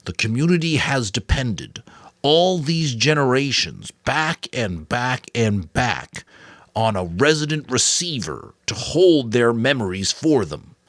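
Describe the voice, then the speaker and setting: A man's voice: mid-range voice, big pitch swings, fairly bright tone, dry recording, voice pushed up. A man voicing a weary but firm old mentor, grave, caring and earnest, in a dramatic audiobook reading. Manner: earnest, emphatic, deliberate.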